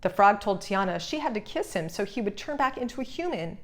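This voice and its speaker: A woman's voice: mid-range voice, sing-song, brisk. Woman reading a children's storybook aloud. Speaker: storybook reader. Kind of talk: scripted children's story narration.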